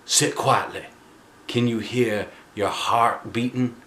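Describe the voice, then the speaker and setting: Man acting a slow, pompous action-guru instructor. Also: mid-range voice, steady tone, fairly bright tone, echoey room, slight background noise. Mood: calm, hushed. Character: muscular self-styled guru self-defense instructor, spouting solemn nonsense wisdom.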